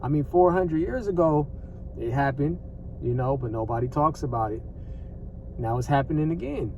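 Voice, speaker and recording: A man's voice: mid-range voice, animated, moderate pace, mellow, far from the mic, lo-fi sound, slight background noise. An American man giving casual, off-the-cuff commentary as a vlogger, conversational and repetitive, with plenty of slang and swearing.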